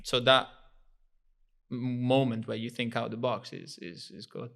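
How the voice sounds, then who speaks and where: A man in his thirties with an Italian accent, chatting as a podcast guest in slightly broken English, relaxed and laughing often. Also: mid-range voice, a little slow, vocal fry. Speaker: guest footballer. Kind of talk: casual podcast chat.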